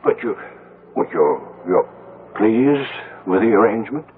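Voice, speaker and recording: An actor playing a gentle, simple elderly widower, a farmer and father who speaks hesitantly in a radio drama. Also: on the low side, animated, noisy background. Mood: gentle, halting. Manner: hesitant, halting. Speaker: supporting actor. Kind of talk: 1970s radio drama acting. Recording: muffled phone-like old radio recording, background murmur.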